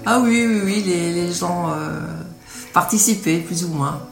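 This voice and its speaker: A woman from Noirmoutier, a native patois speaker, answering a radio interviewer in a halting way with many hesitations. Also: on the low side. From Noirmoutier island, France. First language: patois of L'Épine. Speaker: author being interviewed. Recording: roomy radio interview with music behind.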